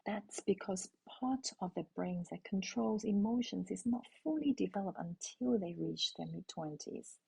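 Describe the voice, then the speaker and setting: A middle-aged woman from Hong Kong, a native Cantonese speaker, telling a personal parenting story in a calm, intimate way and asking her listeners questions. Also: mid-range voice, normal speed, gravelly, few pauses, breathy edge, rough recording. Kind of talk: reflective spoken essay.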